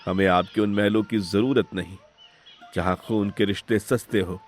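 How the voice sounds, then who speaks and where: A man's voice: lowish voice, steady tone, normal speed, soft background music, close to an everyday voice. Man voicing a hurt, principled younger brother. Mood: calm, firm. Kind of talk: melodramatic Hindi moral-story cartoon acting.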